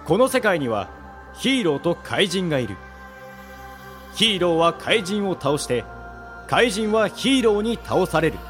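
A man's voice: high voice, very animated, a little slow, long pauses, fairly bright tone, faint music behind. A man delivering dramatic scripted narration as the narrator of a radio drama.

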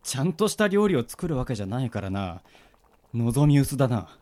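Man acting a lively, sardonic young guy. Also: mid-range voice, a little fast, lightly acted. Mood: nervous, sardonic. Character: sardonic but nervous young man, quick with retorts. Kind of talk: amateur Japanese radio drama acting.

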